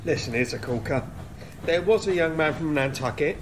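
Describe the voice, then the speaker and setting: A chatty, cheeky salesman played by a man with a high voice that bounces around a lot. It is comic radio play acting with faint noise behind. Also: expressive, normal speed, close to an everyday voice. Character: chatty, cheeky male travelling salesman on a train.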